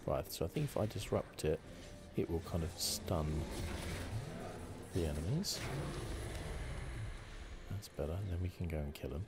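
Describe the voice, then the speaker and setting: A man doing soft-spoken, relaxed, chatty ASMR commentary as he plays a video game. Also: deep voice, normal speed, pauses often, heavy background noise. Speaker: gaming channel host. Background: video game ambience.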